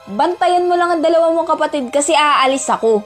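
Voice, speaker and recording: Woman playing a stern, high-voiced scolding mother. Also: fairly quick, natural voice. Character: stern, scolding Filipino mother of three, old-fashioned. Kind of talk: amateur Filipino radio drama acting. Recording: music bed behind dialogue.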